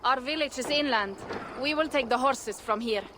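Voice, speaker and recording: A proud young Viking warrior woman from a video game voiced by an actress, with a fairly high voice and an earnest, blunt delivery. She sounds restless, with clear outdoor sound effects behind her. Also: normal speed, background noise, natural voice. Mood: steady. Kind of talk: video game cutscene acting.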